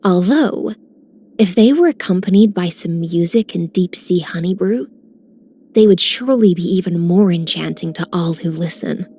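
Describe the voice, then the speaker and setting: A woman's voice: fairly low pitch, moderate pace, warm tone, husky. A woman voicing a composed, eloquent heroine in a video game, formal and poised. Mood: playful, admiring. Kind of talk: video game character voice lines.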